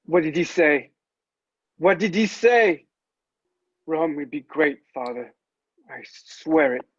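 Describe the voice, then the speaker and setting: A man's voice: fairly high pitch, animated, takes it slowly, no echo, highs cut off. A man playing an intense, impatient Roman emperor's son in a drama table read, with earnest, theatrical delivery. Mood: commanding, impatient.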